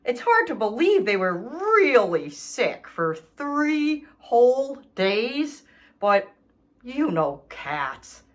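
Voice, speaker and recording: A woman's voice: on the high side, very animated, very slow, heavily acted. A woman voicing an indignant, self-pitying male dog in a children's storybook, reading his letters with theatrical, aggrieved emphasis. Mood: defensive, snide. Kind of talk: expressive children's storybook read-aloud.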